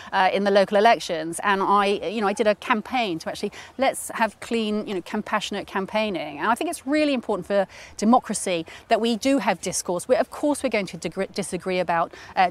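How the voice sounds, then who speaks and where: A middle-aged woman politician answering interview questions outdoors at a show, earnest and full of fillers and restarts. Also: mid-range voice. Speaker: member of parliament.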